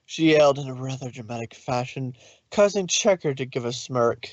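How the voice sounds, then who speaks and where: A man's voice: medium pitch, expressive, normal speed, no echo, dull audio. A man narrating a story aloud in a dramatic reading style.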